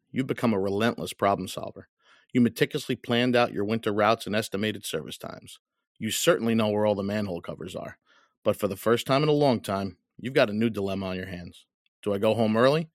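A man with a lowish voice reading a scripted ad spot, smooth and confident. The recording is dry and close. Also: dry recording. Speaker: ad voice-over. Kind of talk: scripted ad read.

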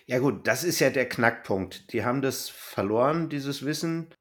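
A man's voice: medium pitch, expressive, normal speed, a bit bright. A man chatting casually as a podcast co-host, in a hesitant, rambling way that often trails off mid-thought. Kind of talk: casual podcast chat reviewing an audio drama.

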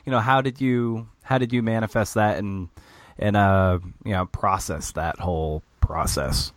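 A man's voice: lowish voice, steady tone, a little slow, no echo. A male podcast host asking long, hesitant questions in a casual interview, with many "you know" and "like" fillers.

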